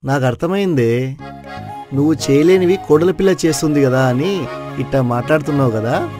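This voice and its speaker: A dry, middle-aged father-in-law from a cartoon tale, acted by a man. He is no-nonsense, with a slightly raised pitch. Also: normal speed, music in the background, close to an everyday voice. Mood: knowing, curt.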